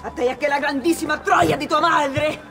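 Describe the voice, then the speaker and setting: A woman's voice: high voice, steady tone, quick pace. An actress playing a fiery, foul-mouthed young Italian woman screaming in pain, with an Italian accent, in a video game. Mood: pained fury. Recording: loud music behind.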